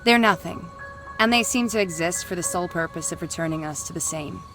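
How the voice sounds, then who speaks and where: A woman playing a centuries-old ghost in a visual novel, guarded and formal, with a dry, measured delivery. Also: fairly low pitch, over music, natural voice. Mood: grave. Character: centuries-old female ghost, guarded, terse, coolly knowing.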